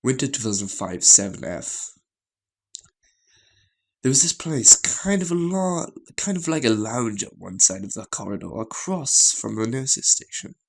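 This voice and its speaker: A man with a noticeably creaky, gravelly voice at an ordinary middle pitch reads a visual novel aloud. His delivery is halting, as he trips on words and goes back over phrases while narrating. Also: very animated. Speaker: gaming channel host reading a visual novel aloud.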